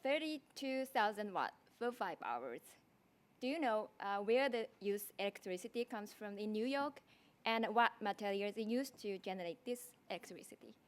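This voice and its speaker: A woman's voice: mid-range voice, lively intonation, normal speed. A young woman with a strong Japanese accent giving a prepared thesis presentation, speaking carefully with frequent restarts. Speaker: design graduate student presenting a thesis.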